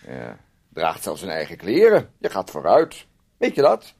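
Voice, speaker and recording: A man's voice: low voice, wildly swooping pitch, unhurried, vocal fry, a little mellow, husky, exaggerated voice. An actor playing a domineering man in a radio play, sarcastically needling and teasing his timid housemate, swinging between outbursts and muttering. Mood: mocking. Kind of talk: Dutch radio play acting.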